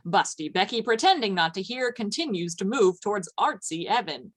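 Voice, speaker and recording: A woman narrator reads a scripted radio play. Her voice sits at a medium pitch as she tells the story. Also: animated, moderate pace.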